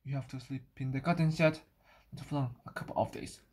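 A woman's voice: extremely low pitch, steady tone, a little fast, a little mellow, breathy edge, pitched below the performer's own voice. A woman voicing a kind, trusting little girl in a children's story, simple and earnest. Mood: sorry, kind.

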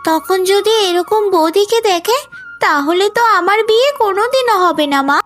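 A woman voicing a spiteful, sneering young unmarried sister-in-law in an animated moral story. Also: extremely high pitch, moderate pace, soft background music. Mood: complaining. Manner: sneering, scolding.

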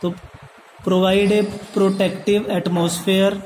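A man with an Indian accent teaches like a lecturer going through notes. His voice is high and stays very flat, and he rephrases as he goes. There is a light but steady noise behind him. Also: unhurried. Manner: switches between Hindi and English terms; repeats and rephrases points. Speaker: polytechnic lecturer. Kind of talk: lecture read from handwritten notes, explained step by step.

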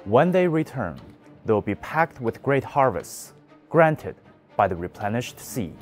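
A man's voice: mid-range voice, very animated, measured pace, faint music behind. A young man presenting a scripted news commentary with an emphatic, pointed delivery.

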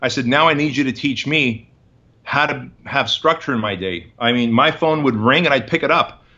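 A man's voice: medium pitch, moderate pace, bright, forceful tone. A man in his forties, a guest entrepreneur, telling his story in an interview with an emphatic, punchy motivational delivery over a video call from his office. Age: 40s. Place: office with bookshelves, indoors. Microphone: video call webcam. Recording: slightly dull video call audio.

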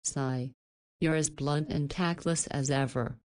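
A woman's voice: low-pitched, steady tone, a little slow, a little mellow. A woman voicing a motherly, teasing halfway-house boss in a visual novel, chatty and playfully scolding. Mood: curious, chiding.

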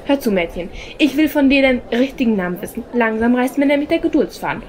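An actress playing a stern, officious theatre usher in an audio drama, curt and authoritative. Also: female voice, fairly high pitch, lively intonation, fast talker, a little mellow, lightly acted. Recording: faint background noise.

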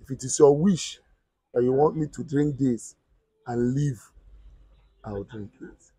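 An actor playing a blind, resigned husband, speaking quietly and slowly. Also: male voice, mid-range voice, breathy edge, no echo. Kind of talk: dramatic skit acting.

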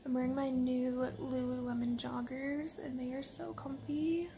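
A woman's voice: high voice, level tone, unhurried, dark tone, a little hiss or hum. A young woman in her twenties chatting casually to the camera while lounging on her couch at home. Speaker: vlogger. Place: living room couch indoors.

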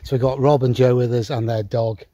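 A man's voice: medium pitch, steady tone, fairly quick, mellow, dry recording, clean studio sound. A man in his sixties hosting a hiking vlog, chatting enthusiastically to a phone held at arm's length in open countryside. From England. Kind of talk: casual outdoor vlog chat. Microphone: phone selfie at arm's length.

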